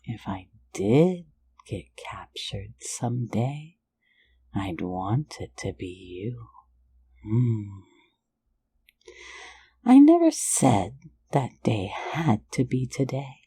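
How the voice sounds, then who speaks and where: A woman's voice: rumbling low, very animated, slow, pauses often, mellow, husky, full character voice. A woman voicing a sly, playful phantom thief in an ASMR roleplay, mostly whispering close to the mic in a teasing, soothing way. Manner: teasing, soothing, lulling listener to sleep.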